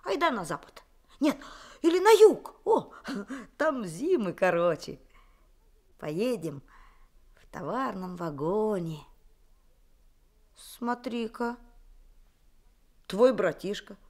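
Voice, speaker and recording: A woman's voice: mid-range voice, sing-song, takes it slowly, pauses often, darkish tone, full character voice. Playful teenage boy. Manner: playful, laughing. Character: teenage boy, cheerful, teasing friend. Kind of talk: 1960s Soviet radio drama acting.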